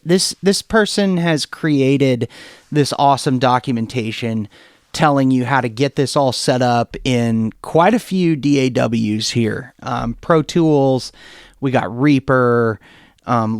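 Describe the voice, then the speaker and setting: Male tutorial presenter, informal and keen, mid-pitched clear voice, unscripted, very little room sound. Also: no room sound, studio quality. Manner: informal, enthusiastic, thinking aloud. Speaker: music production tutorial presenter. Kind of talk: casual software demo, talking off the cuff.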